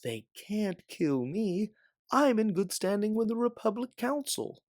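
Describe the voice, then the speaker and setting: A man voicing a soft, pompous politician with a haughty, blustering manner. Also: high voice, very animated, unhurried, no room sound, clean studio sound, voice pushed up. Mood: indignant.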